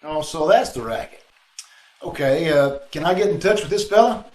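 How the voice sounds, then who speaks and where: A man's voice: on the high side, expressive, moderate pace, a little mellow, lightly acted. A man playing a cocky, tough, wisecracking amateur sleuth in the style of a 1940s radio drama. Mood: calm, confident. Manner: wisecracking, self-assured. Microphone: video call. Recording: echoey online-call recording with background noise.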